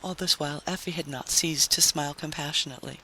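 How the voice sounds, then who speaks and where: A man's voice: fairly high pitch, steady tone, quick pace, pushed and bright, breathy edge. A man narrating a story in a dramatic, scripted vintage radio style. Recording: noisy vintage radio recording.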